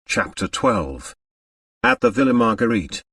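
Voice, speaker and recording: A man's voice: on the low side, big pitch swings, unhurried, creaky, pushed and bright, husky, no room sound, clean studio sound, recorded from a distance. A man reading scripted literary narration as an audiobook narrator.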